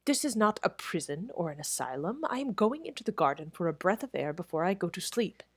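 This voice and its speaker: A woman voicing a fierce, impatient gentleman guest at a hotel. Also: fairly low pitch, sing-song, fairly quick, breathy edge, no room sound, heavily acted. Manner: fierce, indignant.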